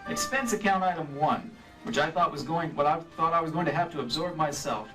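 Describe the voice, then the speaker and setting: A man acts out a freelance insurance investigator in old-time radio style, wry and weary. His voice sits a little high. It is picked up from afar on a live stage, with music clearly behind it. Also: animated, a little fast, pushed and bright, far from the mic, poor audio. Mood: wry, brisk. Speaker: lead actor in a radio play re-creation. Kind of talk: 1950s radio detective acting and narration.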